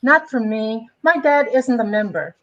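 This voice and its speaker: A woman's voice: fairly high pitch, animated, unhurried, a bit bright, muffled recording. A woman acting catty, snobbish young society gossips in an amateur dramatic reading of a romance comic, with a theatrical, sardonic delivery. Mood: breezy.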